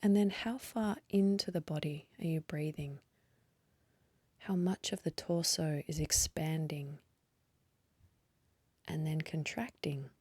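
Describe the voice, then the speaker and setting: A woman leading a guided meditation in a soft, breathy voice, gently and unhurriedly, with long pauses. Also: low-pitched, dry recording. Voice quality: soft, breathy, partly whispery. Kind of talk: guided meditation, slow calm instruction.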